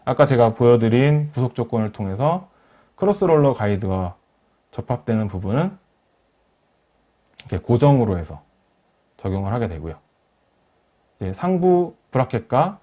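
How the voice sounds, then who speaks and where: A man narrating a software tutorial as a webinar instructor, explaining each step in a polite, formal way. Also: mid-range voice, big pitch swings, normal speed, pauses often. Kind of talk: software tutorial narration over screen demo. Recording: dull lo-fi voice recording.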